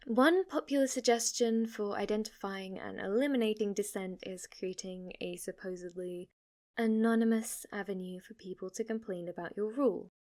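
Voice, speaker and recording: A woman's voice: mid-range voice, moderate pace, a little mellow, lightly acted. A woman playing a flirty, submissive demon maid who speaks with fanatical reverence in an ASMR roleplay, sometimes dipping into a whisper. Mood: devoted, calmly menacing.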